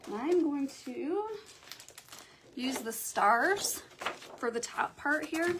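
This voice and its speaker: A woman's voice: high voice, expressive, slow pace. Young woman crafting live, froggy morning voice, rambles w lots of ums, a little hiss behind. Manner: chatty, thinks aloud, frequent ums. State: hoarse morning voice. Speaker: craft livestream host. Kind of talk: casual crafting livestream chat.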